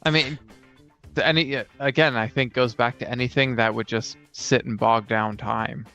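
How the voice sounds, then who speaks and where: A man's voice: medium pitch, fairly even intonation, moderate pace, bright, forceful tone, faint music behind. A man co-hosting a casual talk about tabletop games as a game master, thinking aloud as he goes. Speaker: podcast co-host and game master.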